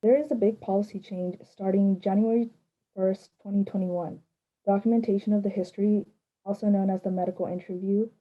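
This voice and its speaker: Casting: woman, mid-range, scripted explainer, tinny telephone-quality recording. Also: medium pitch. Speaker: panelist. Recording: thin phone-like sound.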